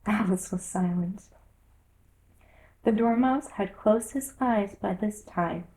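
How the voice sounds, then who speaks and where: A woman narrating a children's story from a script in an expressive storytelling style. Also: medium pitch, poor audio.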